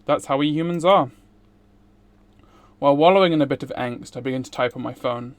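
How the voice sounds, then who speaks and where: A man's voice: mid-range voice, brisk, no room sound. A man streaming a game, reading a visual novel aloud.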